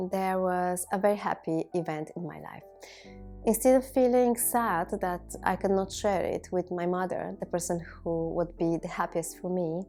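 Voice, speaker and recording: A woman in her thirties from Bulgaria, a native Bulgarian speaker, giving a reflective personal monologue to viewers in measured, deliberate phrases. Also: fairly low pitch, dark tone. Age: 30s. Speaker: video blogger.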